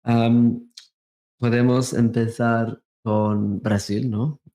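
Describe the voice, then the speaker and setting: A man in his thirties, a native Portuguese speaker with a non-native accent, chatting haltingly as an interview guest and searching for words. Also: medium pitch, level tone, warm tone. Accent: non-native, Portuguese-influenced Spanish. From Brazil, raised in England from thirteen. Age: 30s. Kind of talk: casual interview chat.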